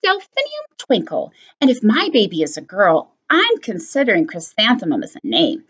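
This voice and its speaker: A woman voicing a gentle, dreamy grown woman music teacher in a storybook, speaking sweetly and high. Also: wildly swooping pitch, moderate pace, breathy edge, lo-fi sound, full character voice.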